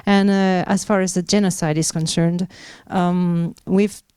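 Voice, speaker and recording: A woman answers questions at a panel with a lowish, mellow and smooth voice. She halts often, restarting sentences with fillers. The sound is dry and close. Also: fairly even intonation, a little slow, no room sound. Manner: hesitant, many fillers and restarts. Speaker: media executive answering questions.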